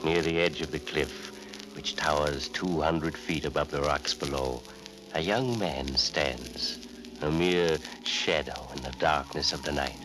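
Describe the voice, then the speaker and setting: A macabre horror host with an extremely deep voice. He narrates in brooding, deliberate, theatrical 1940s radio style, every phrase ominous. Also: low-quality recording.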